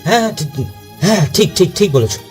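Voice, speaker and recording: Guy doing jittery shopkeeper in ghost story, kinda high, up n down tone, stammers and repeats stuff. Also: expressive, brisk, a little mellow, faint music behind. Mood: nervous, relieved. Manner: hesitant, stammering, repeating words. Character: nervous, flustered shopkeeper, anxious but helpful. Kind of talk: dramatized horror audio story.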